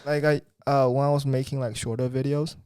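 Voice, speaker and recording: A man's voice: mid-range voice, level tone, fairly quick, a little mellow, clean tone, no echo. Young guy hosting a podcast, rambly and casual, dry close sound. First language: Chinese. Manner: loose, rambling, swears casually. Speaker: podcast host. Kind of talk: casual chat.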